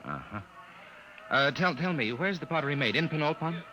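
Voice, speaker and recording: An actor playing a polite, curious young junior officer who also narrates, in 1950s radio style. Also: male voice, a little high, expressive, brisk, bright, forceful tone, rough recording. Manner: deferential, inquisitive, hesitant in dialogue. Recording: vintage 1950s radio drama recording.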